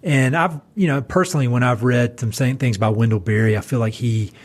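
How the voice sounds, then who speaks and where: A man from Eastern North Carolina hosting a podcast, asking earnest, halting questions with restarts. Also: medium pitch. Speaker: podcast host. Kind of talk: casual interview conversation.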